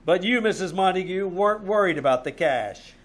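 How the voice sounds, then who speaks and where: A man playing a wry, wisecracking private detective, narrating deadpan in live old-time radio style. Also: high-pitched, brisk. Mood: wry storytelling. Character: wry, dry-witted male private detective narrating his case. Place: small-town theater stage, live performance.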